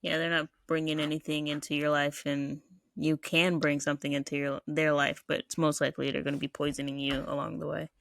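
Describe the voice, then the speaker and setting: A woman in her twenties hosting a podcast, chatting in a relaxed, agreeable way and asking probing questions. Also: sits low, fairly quick, gravelly, no echo. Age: 20s. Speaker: podcast host. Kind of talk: casual interview chat.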